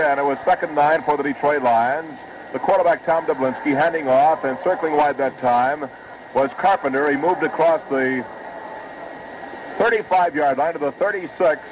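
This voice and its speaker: A man calling a football game play by play on live radio, brisk and rising with the action, on a noisy old broadcast recording. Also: a little high. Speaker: play-by-play announcer.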